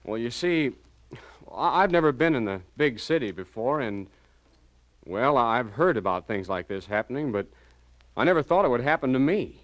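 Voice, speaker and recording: A man's voice: medium pitch, big pitch swings, moderate pace, fairly bright tone, no echo. An actor playing an anxious married small-town businessman, hesitant and flustered, in 1940s radio style.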